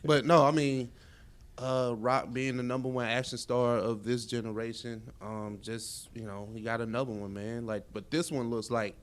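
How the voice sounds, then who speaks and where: A man's voice: mid-range voice, fairly even intonation, moderate pace, smooth. An American man co-hosting a podcast, chatting casually and slangily about movie trailers and entertainment news. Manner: slangy, conversational, says "man" and "you know" often. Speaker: podcast co-host.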